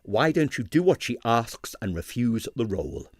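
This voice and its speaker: A man voicing a patient, wry police detective in an audiobook, measured and gravely reassuring. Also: medium pitch, sing-song, darkish tone, no room sound, studio quality. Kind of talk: audiobook character voice.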